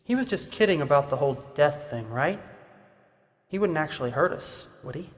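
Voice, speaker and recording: An actor playing a panicky, whining son of a rich patriarch, with a tense, complaining manner in an audio drama. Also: male voice, on the high side, expressive, moderate pace, faint music behind, lightly acted. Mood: anxious. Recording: reverberant enclosed space.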